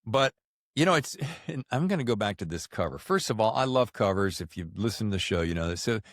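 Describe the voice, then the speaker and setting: A man's voice: lowish voice, sing-song, brisk, a bit bright, no room sound, studio quality. A man hosting a podcast interview in a casual, informal and enthusiastic way. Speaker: podcast host.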